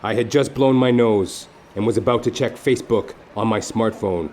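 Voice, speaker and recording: A man playing a wry, lecherous private detective, narrating a noir parody audio play in a florid, deliberate deadpan. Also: fairly low pitch, a little hiss or hum, lightly acted.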